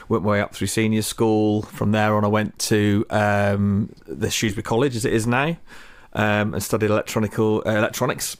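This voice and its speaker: A man's voice: fairly low pitch, clean tone, no room sound. A man in his thirties with a Shropshire accent and a slight Cockney twang, chatting casually as a podcast guest, rambling with restarts. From West Midlands, England. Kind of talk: casual chat.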